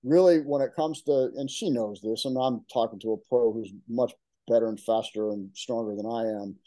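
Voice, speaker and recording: Rambling older man with a mellow voice. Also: mid-range voice, normal speed, no room sound. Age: 60s. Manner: rambling, many restarts and "like"; quotes others in his stories. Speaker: guest. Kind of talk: casual interview chat.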